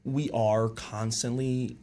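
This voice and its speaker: A young man, a musical theatre student, speaking as a radio guest in a relaxed, halting way full of fillers. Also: mid-range voice, a little hiss or hum. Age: young adult. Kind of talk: casual radio interview chat.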